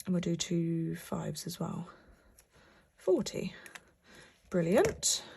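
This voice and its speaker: A young British woman talks casually and at length about her money, with plenty of ums and restarts. Her voice sits a little on the low side. She sounds slightly bunged up, as if she has a cold. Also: big pitch swings, slow pace, gravelly, a little mellow, slight background noise. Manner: chatty, rambling, many fillers and restarts. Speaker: budgeting vlogger. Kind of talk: casual chat to camera while cash stuffing.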